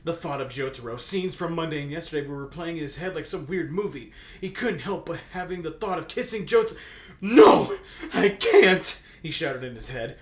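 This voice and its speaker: Animated man reading aloud in an echoey room. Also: a little high, normal speed, few pauses. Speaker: co-reader reading fan fiction aloud with commentary. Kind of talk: comedic dramatic read-aloud with joking banter. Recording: echoey room with faint background noise.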